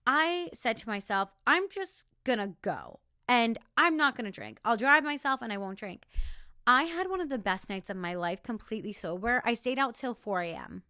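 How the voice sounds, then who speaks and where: A young woman with a raspy voice giving an emphatic motivational monologue as a podcast host, talking straight to the listener. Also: a little high, lively intonation, normal speed, bright, forceful tone, dry recording, clean studio sound.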